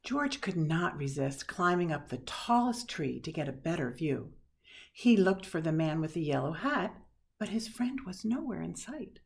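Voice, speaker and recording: A woman reading a children's picture book aloud expressively, with dramatic pauses and hushed asides. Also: fairly low pitch, big pitch swings, creaky, husky. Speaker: storybook reader.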